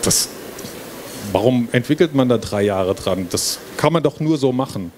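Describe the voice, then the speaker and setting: A man's voice: medium pitch, moderate pace. A middle-aged man, a university lecturer, giving an informal explanatory presentation into a handheld microphone on a stage. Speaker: university professor presenting a project. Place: stage in a book fair hall before a small audience. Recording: steady trade-fair hall noise.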